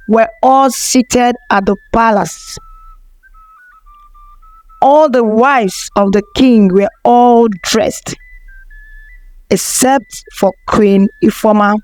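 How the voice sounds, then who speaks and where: A woman's voice: a little high, darkish tone, no room sound, poor audio. A woman narrating a folktale from a script as a storyteller.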